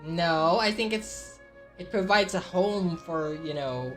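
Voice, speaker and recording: A woman streamer with a low voice, a native Filipino speaker, reads game dialogue choices aloud in a casual playthrough. Game music plays faintly behind her. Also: slow.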